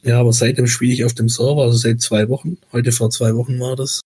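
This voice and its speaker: A man's voice: mid-range voice, flat delivery, quick pace, a little mellow, breathy edge, no echo. A man with a light Swabian accent hosting a podcast in a rambling, chatty way with many hesitations. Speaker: podcast host. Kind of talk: casual chat.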